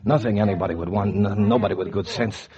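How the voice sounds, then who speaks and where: A man's voice: lowish voice, quick pace, a crowd in the background, lightly acted. An actor playing a down-on-his-luck painter who narrates in wry, hard-boiled 1940s radio noir style. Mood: weary, hungry. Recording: noisy, muffled 1940s radio recording.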